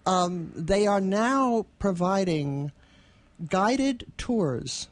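A woman's voice: medium pitch, expressive, drawn-out words, no echo. A woman co-hosting a casual radio chat, talking in an amused way from a station studio. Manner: amused, chuckling as she talks. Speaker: radio co-host.

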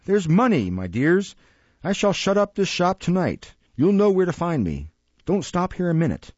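Guy putting on a shrill fussy old crook, high and jumpy, kinda dull lo-fi sound. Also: moderate pace, no echo, heavily acted. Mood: agitated. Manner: excitable, fussing. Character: jittery scheming old male thief boss.

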